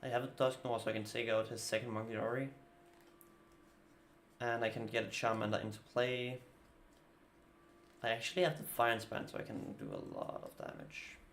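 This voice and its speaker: A man's voice: lowish voice, big pitch swings, moderate pace, vocal fry, long pauses, a bit bright, a little hiss or hum. A man with a Danish accent, a native Danish speaker, streaming live and casually thinking aloud about his card-game moves. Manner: thinking aloud while playing, casual, muttering asides. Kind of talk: live gameplay commentary.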